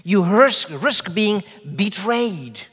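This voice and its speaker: A man whose first language is Afrikaans, preaching a sermon in measured, emphatic phrases with rhetorical questions. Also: sits high, lively intonation, a bit bright, breathy edge, in a large hall. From South Africa. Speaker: pastor.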